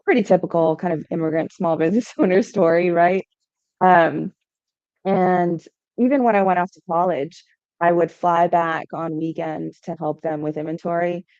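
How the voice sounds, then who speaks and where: A woman's voice: on the low side, steady tone, normal speed, a little mellow, dry recording. A young adult woman from Hawaii, a startup founder answering podcast interview questions in a conversational way, often saying "um" and "right?". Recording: dull lo-fi sound.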